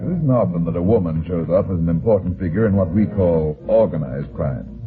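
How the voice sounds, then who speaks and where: Deep-voiced hard-boiled radio detective. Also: male voice, expressive, a little fast, over music. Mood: wry narrating. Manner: terse, tough, sardonic. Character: hard-boiled male private detective, wry and blunt. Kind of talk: old-time radio detective narration and dialogue. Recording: thin, phone-like vintage radio recording.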